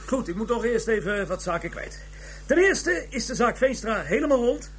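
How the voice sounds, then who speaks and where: An actor in a radio drama playing a good-natured male police chief, making a slightly halting speech to his team. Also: very high-pitched, very animated, a little hiss or hum. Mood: good-natured, formal. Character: police squad chief addressing his team, modest, collegial.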